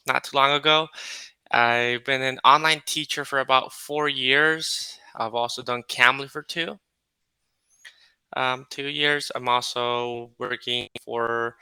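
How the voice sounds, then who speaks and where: Brief: friendly male tutor, bright crisp voice, dry close sound. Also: mid-range voice, no room sound. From California, United States. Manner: relaxed and friendly, many fillers and pauses. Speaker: English tutor. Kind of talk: casual video-call conversation. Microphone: video call.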